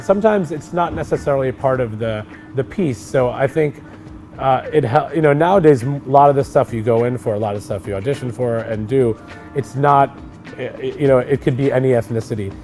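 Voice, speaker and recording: A middle-aged man in casual conversation, an actor talking loosely and relaxed, with many fillers and restarts. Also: mid-range voice, a little fast, soft background music. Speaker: actor co-hosting a chat.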